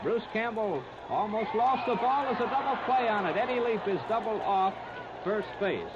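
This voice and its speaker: High-pitched vintage baseball announcer, muffled and scratchy. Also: male voice, animated, moderate pace, over a noisy crowd. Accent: American. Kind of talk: 1930s radio sports commentary. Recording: scratchy, muffled 1939 radio disc recording.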